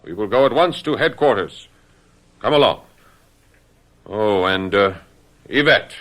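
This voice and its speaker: Stern, officious police inspector, lively pitch. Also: male voice, measured pace. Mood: officious, jealous. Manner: pompous, accusatory, formal. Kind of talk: radio mystery drama acting.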